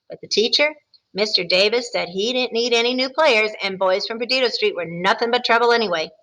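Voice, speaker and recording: A woman teacher reading a picture book aloud, expressive, with chatty asides to her listeners. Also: mid-range voice, poor audio. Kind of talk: storybook read-aloud with comments.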